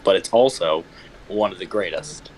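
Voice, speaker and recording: A man with a fairly low voice talks casually on a group call. He is blunt and swears freely. There is faint noise in the background. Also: normal speed, darkish tone, poor audio. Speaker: livestream call guest. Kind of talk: casual chat.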